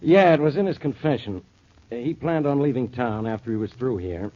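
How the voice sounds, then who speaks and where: An actor playing a blunt, grudging police inspector in a 1940s radio detective drama, talking colloquially. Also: male voice, mid-range voice, lively intonation, brisk, natural voice. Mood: grudging, griping. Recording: dull lo-fi 1940s radio recording.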